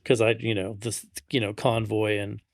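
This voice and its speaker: A man's voice: on the low side, little pitch movement, normal speed, no room sound, studio quality. A middle-aged man chatting casually as a podcast co-host, often repeating and restarting his phrases. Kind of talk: casual chat.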